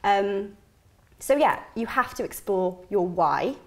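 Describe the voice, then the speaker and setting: A Welsh woman, a career mentor being interviewed, talking in a chatty, energetic way with emphatic repetitions. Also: medium pitch, slow. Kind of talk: casual interview chat. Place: TV living room studio.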